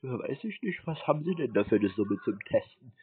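A man making a prank phone call in a deadpan, naive manner. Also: mid-range voice, a little fast, slightly rough, dry recording. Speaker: prank caller, scam baiter. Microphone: telephone.

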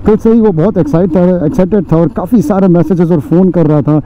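A man's voice: sits high, a little fast. A man in his forties, a motovlogger, chatting casually to viewers while riding his motorcycle outdoors on a helmet camera mic. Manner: chatty, addresses viewers as friends, many fillers. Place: outdoors on a motorcycle on city and village roads. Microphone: helmet or bike-mounted action camera mic. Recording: dull lo-fi outdoor riding audio with faint road noise. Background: faint traffic and road noise.

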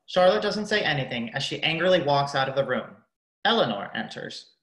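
A woman's voice: sits low, very animated, brisk, fairly bright tone, reverberant. A woman narrating a radio play, reading out scripted stage directions.

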